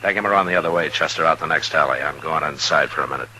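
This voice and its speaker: An actor playing a weary, firm Old West marshal, gruff and terse, in a 1950s radio western. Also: male voice, a bass voice, rapid-fire, sharp tone, exaggerated voice. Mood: stern. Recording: noisy vintage 1950s radio recording.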